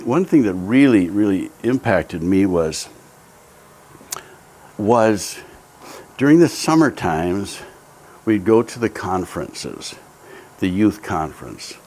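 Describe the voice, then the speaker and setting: A man's voice: low-pitched, a little mellow. A man in his seventies who grew up in Japan tells his life story in a reflective, hesitant way, with many ums and restarts.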